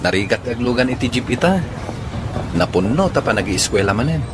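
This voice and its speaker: An earnest young Filipino man acted by a man courting in a radio drama. His voice is medium in pitch, over faint background noise. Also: sing-song, a little fast, natural voice. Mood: pleading. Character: Marlon, earnest young male suitor.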